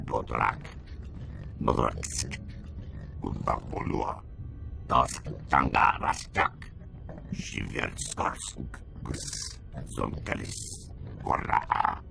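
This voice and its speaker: A man voicing a hulking, menacing reptilian alien warrior in a video game, speaking a guttural invented tongue with a gravelly growl. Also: very deep voice, exaggerated voice.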